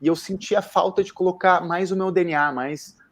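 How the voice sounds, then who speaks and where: A man talking in an intense, expressive way as a guest introducing himself, using slangy interjections. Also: high-pitched, moderate pace. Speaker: guest, founder of a social communication initiative. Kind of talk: casual self-introduction on a talk.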